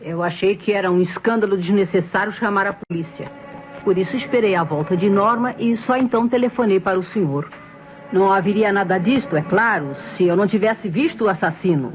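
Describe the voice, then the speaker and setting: A Brazilian actress playing a talkative, self-assured neighbour in a radio drama, explaining herself in a proper, insistent way. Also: female voice, medium pitch, brisk, lightly acted. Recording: dull old radio recording, music behind.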